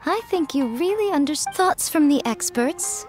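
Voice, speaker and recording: A woman playing a sly, elegant, poised fox-spirit publishing boss in a video game, with a teasing, deliberate manner. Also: high-pitched, lively intonation, a little mellow, faint music behind. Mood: amused approval.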